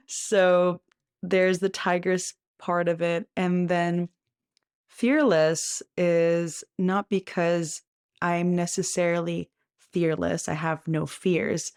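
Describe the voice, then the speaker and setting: A woman's voice: fairly low pitch, very slow, darkish tone, clean tone, no echo, clean studio sound. A woman hosting a motivational podcast, talking earnestly and encouragingly, often checking in with "right?" and restarting phrases as she thinks. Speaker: podcast host.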